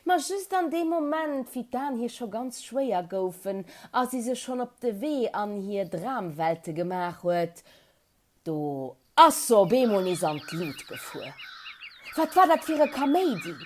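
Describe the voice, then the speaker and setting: A woman storyteller with a Luxembourgish accent tells a tale for children. Her delivery is slow and very animated, with wide swings in intonation. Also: fairly high pitch, a little hiss or hum.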